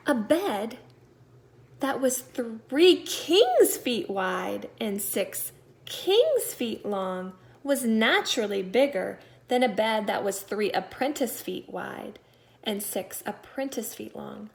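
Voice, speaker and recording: A woman teacher reading a storybook aloud to young children in an expressive, deliberate way, stressing key words. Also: a little high, gravelly. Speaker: second-grade teacher.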